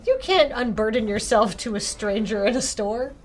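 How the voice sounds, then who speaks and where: A woman's voice: on the high side, normal speed. A woman streamer playfully reading a game's dialogue aloud and chatting casually. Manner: playful, giggly.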